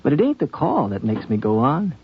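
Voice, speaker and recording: An actor playing a gentle, earnest frontier wanderer in a 1950s radio drama, musing and folksy, with halting phrasing. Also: male voice, mid-range voice, wildly swooping pitch, breathy edge, heavily acted. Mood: wistful. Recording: dull 1950s radio broadcast.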